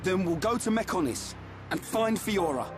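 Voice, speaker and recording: A man's voice: sits high, lively intonation, normal speed, over music. An actor playing a brash, eager young male fighter in a video game cutscene. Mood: fired up.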